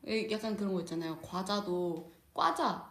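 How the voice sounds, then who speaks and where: A woman's voice: mid-range voice, moderate pace. A young woman from South Chungcheong co-hosting a casual chat and telling funny stories with lots of giggles. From South Chungcheong, Korea. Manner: giggly, animated storytelling. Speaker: co-host. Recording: roomy echo.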